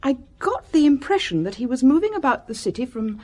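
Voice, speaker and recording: An actress playing a prim, anxious, conscientious British secretary in a radio drama, formal and earnest. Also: female voice, high-pitched, big pitch swings, fairly quick, mellow.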